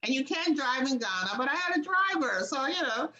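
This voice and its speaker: A woman's voice: high-pitched, very animated, brisk, pushed and bright, echoey room, highs cut off. An American woman hosting a video call, telling her own experiences in a candid, storytelling way. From United States. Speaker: host. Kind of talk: casual video call discussion.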